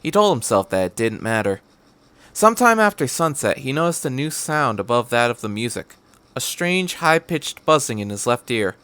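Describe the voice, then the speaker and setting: Male podcast narrator for fan fiction, average pitch, very varied intonation. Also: big pitch swings, normal speed, sharp tone, clean tone, no echo. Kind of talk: scripted narration, story reading.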